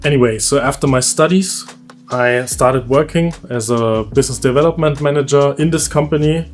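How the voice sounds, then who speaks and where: A man in his thirties with a German accent, telling a candid personal story for his vlog in a relaxed, conversational way, often laughing as he goes. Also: medium pitch, moderate pace, soft background music. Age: 30s. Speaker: vlogger.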